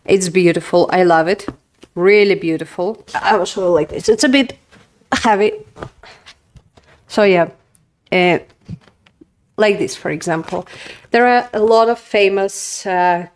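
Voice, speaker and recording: Young adult woman, Russian first language; relaxed, rambling video host tone, filler-heavy. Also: mid-range voice. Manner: chatty, filler-heavy, frequent "like". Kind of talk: casual book review to camera.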